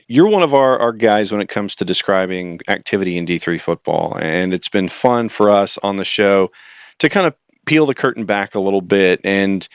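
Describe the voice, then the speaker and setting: A man's voice: lowish voice, clean tone, dry recording, muffled recording. A man hosting a sports radio interview in a conversational, chummy style with polished announcer patter.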